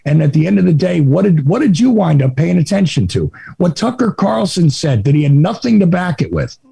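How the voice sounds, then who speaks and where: Raspy gravelly-voiced man with a Jersey accent. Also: fairly high pitch, big pitch swings, a little fast, warm tone, dry recording. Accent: New Jersey. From New Jersey. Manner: sardonic, rambling storyteller. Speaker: podcast guest. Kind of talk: casual podcast conversation.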